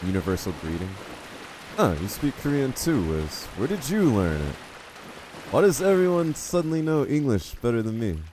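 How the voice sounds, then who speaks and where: A man's voice: medium pitch, sing-song, moderate pace, darkish tone, slight background noise. A man from the United States chatting casually with viewers while he plays a game, rambling and poking fun at himself. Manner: rambling, self-deprecating, reads chat aloud. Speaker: streamer.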